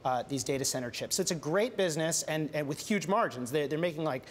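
A man's voice: fairly high pitch, expressive, fast talker. A young man reporter answering questions in a TV news studio, chatty and full of restarts and fillers.

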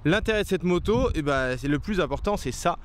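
Young male vlogger, slightly high pitch, casual and rambling, filmed outside on a camera mic. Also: expressive, brisk, noisy background. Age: young adult. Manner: chatty, explains things with many fillers and restarts. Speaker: motorcycle stunt vlogger. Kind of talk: casual vlog talk to camera. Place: outdoors on a paved lot. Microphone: handheld camera at arm's length. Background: outdoor ambience, passing plane.

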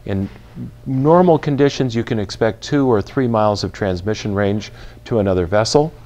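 A man in his fifties, filmed in a large indoor store with a lapel mic, explaining equipment to camera in a clear, measured, practical way. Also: fairly low pitch, darkish tone, muffled recording. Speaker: retail company spokesperson presenting boating gear.

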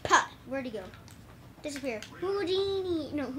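Głośna, wysoka kobieta przy grze. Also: female voice, high-pitched, lively intonation, measured pace. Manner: swears, jokes and laughs a lot. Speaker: gamer filming split-screen play. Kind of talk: casual gaming chat. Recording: noisy room recording.

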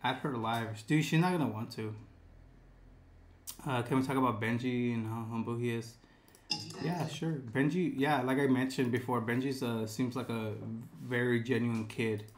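A man's voice: medium pitch, fairly even intonation, moderate pace, a little hiss or hum. A man in his twenties chatting casually on a live stream, laid-back and calling everyone "bro", reading chat aloud at his desk. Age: 20s. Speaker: livestreamer. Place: dark room with gaming chair and lights.

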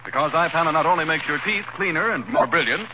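A muffled old radio broadcast with faint noise. A male announcer speaks in a high, formal, polished 1930s delivery. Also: fast talker.

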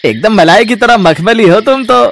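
Man playing a high-voiced leering milkman. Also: animated, moderate pace, fairly bright tone, slight background noise. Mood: sly. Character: leering, sly village milkman.

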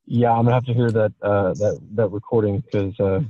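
Brief: lowish-voiced man hosting a team call, halting with many "uh"s, muffled lo-fi sound. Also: fairly even intonation, dry recording. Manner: hesitant, with many "uh" fillers. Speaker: team leader hosting the talk.

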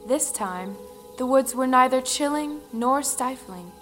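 A woman's voice: high voice, expressive, a little slow, a bit bright. A woman telling a folktale as dramatic audio play narration. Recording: reverberant, faint music underneath.

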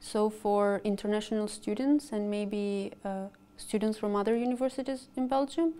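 A woman's voice: medium pitch, steady tone, moderate pace, warm tone, smooth. A young woman from Albania, a master's student, speaking earnestly in an interview with small restarts. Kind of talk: interview testimonial. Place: university campus indoors. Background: background music.

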